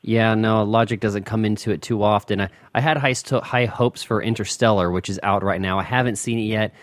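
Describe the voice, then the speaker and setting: A man hosting a podcast, asking questions in a relaxed, attentive way. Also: fairly low pitch, brisk, no echo. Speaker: podcast host. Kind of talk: casual interview.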